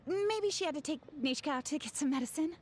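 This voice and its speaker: Earnest high-voiced game villager. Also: female voice, animated, quick pace, darkish tone, a little hiss or hum. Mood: explanatory, concerned. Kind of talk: scripted game dialogue.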